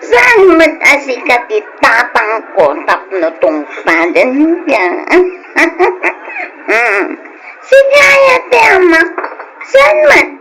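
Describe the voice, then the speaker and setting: An actress plays a drunken teenage sister with an Ilocano accent, high-pitched and swinging wildly in tone. She is flippant, giggly and defiant, on a noisy old-radio style recording. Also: wildly swooping pitch, extremely slow, full character voice. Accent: Filipino, Ilocano. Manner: loose, giggly tipsy talk. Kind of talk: Ilocano radio drama acting.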